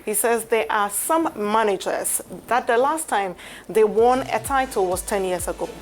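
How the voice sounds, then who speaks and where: A woman sports presenter delivering a live news segment with studio chat, pausing often as she goes. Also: on the high side, soft background music. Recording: steady background noise.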